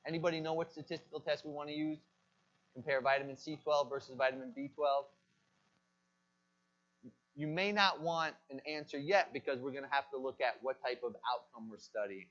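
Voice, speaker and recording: Male college lecturer, mid-pitched, quizzing the class and answering his own questions; muffled lo-fi classroom capture. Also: brisk, a bit bright. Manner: asks the class questions and answers them himself. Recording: dull lo-fi lecture recording.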